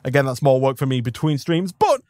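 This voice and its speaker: Fast-talking, slightly hoarse male streamer. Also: mid-range voice, big pitch swings, a little mellow, no room sound, clean studio sound. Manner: rambling, upbeat, thanks viewers by name. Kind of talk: live gameplay stream commentary.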